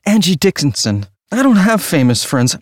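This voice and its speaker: A man's voice: on the high side, very animated, moderate pace, dry recording, studio quality. A man playing an obsessive, crude true-crime fan in an audio drama, questioning insistently. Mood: prying, insistent. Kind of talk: dramatic audio play dialogue.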